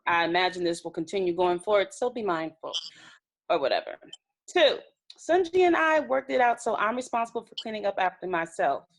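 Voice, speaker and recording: A blunt, businesslike young housemate played by a woman in a comedy read. She lays things out plainly at an average pitch for a woman. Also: expressive, fairly quick, natural voice. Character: matter-of-fact young woman housemate laying down terms. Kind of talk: remote table read of a comedy web series script.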